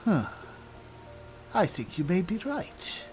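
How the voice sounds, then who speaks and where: A man roleplaying a puzzled high elf spellsword in a video game, speaking in a hesitant, exclamatory way. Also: very animated, slow pace, darkish tone, faint music behind, exaggerated voice. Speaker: let's play roleplayer. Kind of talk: in-character game roleplay commentary.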